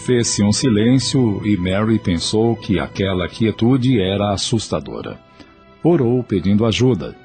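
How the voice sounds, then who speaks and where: Low-voiced male drama narrator. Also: lively intonation, unhurried, a little mellow. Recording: music under the voice.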